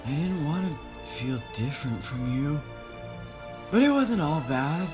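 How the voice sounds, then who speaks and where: A man voicing a bashful, bookish male cyborg, gentle and wounded, confiding hesitantly in a visual novel game voiceover. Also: on the high side, sing-song, unhurried, music in the background.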